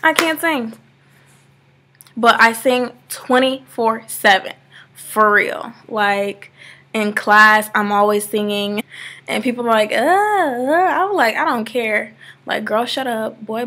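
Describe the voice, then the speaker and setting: A bright, fairly high voice from a young woman of eighteen, talking straight into a laptop webcam from her living-room sofa. She rambles in a loose, chatty way and scatters "like" all through her sentences. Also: takes it slowly. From Georgia, USA. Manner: chatty and rambling, many "like" fillers. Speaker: vlogger. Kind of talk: casual webcam vlog. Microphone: laptop webcam, close framing.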